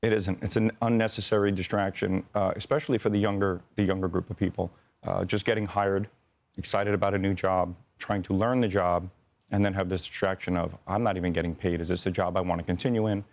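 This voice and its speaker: Deep, creaky-voiced man being interviewed. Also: steady tone, fast talker. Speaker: air traffic controller, interview guest. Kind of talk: plain-spoken news interview answers.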